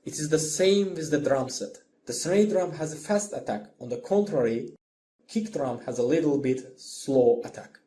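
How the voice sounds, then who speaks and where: Mellow male music instructor, noisy recording. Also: fairly high pitch, lively intonation, normal speed. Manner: explanatory, simple phrasing. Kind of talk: scripted lesson narration.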